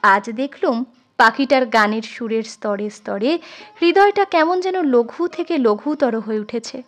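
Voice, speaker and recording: A woman reads literary fiction as an expressive audiobook storyteller. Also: high voice. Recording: music under the voice. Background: instrumental music.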